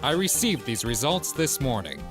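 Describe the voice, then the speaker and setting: A man voicing a cool, condescending prosecutor who speaks in a composed, measured way, in a fan dub. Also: medium pitch, big pitch swings, music in the background, natural voice. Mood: smug. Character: cool, smug male prosecutor.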